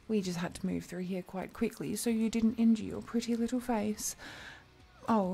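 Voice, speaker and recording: A woman's voice: medium pitch, vocal fry, dark tone, husky. A woman playing an affectionate, playful demon guide through hell in a fantasy audio roleplay, chatty, coaxing and protective toward the listener. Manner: coaxing, chatty, protective, with pet names and small hesitations.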